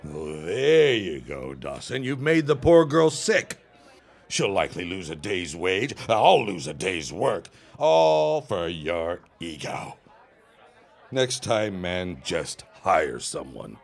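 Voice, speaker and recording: An actor playing a smooth, scheming and threatening businessman in a 1916 period audio drama, with condescending, ornate phrasing. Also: low-pitched, sing-song, full character voice. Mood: scornful, threatening. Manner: condescending, deliberate, ornate wording.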